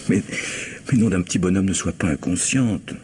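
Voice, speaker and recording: Very deep-voiced, earnest man actor in radio drama. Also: moderate pace, warm tone, close to an everyday voice. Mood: exasperated. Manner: earnest, emphatic, scolding. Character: stern, agitated man secretly in love, exasperated and anxious. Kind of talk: French radio play acting. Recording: noisy old radio drama recording.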